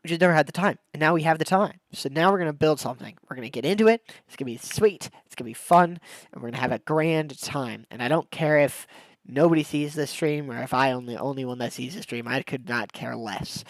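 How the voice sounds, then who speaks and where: A man's voice: fairly high pitch, fast talker, dry recording, low-quality recording. Young male game streamer, stuffed-up nose, loose rambling chat, dry close-mic sound. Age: young adult. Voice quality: congested, nasal from a cold. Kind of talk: casual livestream chat while gaming.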